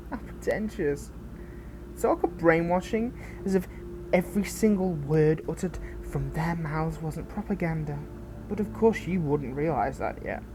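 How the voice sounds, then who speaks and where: A woman playing a protective, bitter but caring fallen hero in an audio roleplay, speaking intimately and earnestly with halting, dramatic pauses. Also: deep voice, animated, background noise. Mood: sardonic. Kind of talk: scripted audio roleplay addressed to the listener.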